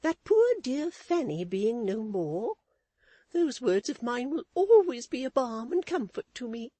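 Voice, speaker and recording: A woman's voice: sing-song, unhurried, dark tone, dry recording. A woman voicing a middle-aged wife who scolds her husband with withering, self-righteous indignation. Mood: fretful. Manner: high-pitched, withering, sharply emphatic.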